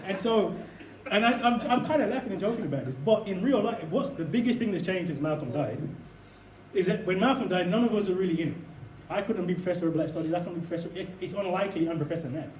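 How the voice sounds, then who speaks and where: Impassioned man speaking, high voice, echoey hall. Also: fast talker, slight background noise. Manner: impassioned, laughing often, punchy asides. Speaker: invited speaker at a debate. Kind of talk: public debate speech. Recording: echoey hall, mic from a distance.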